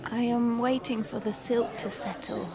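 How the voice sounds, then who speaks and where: A woman playing a reluctant young lady, deadpan and politely sarcastic, in a farcical audio drama. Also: a little high, unhurried, a crowd in the background. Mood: dry politeness. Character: reluctant, drily sardonic young lady avoiding suitor.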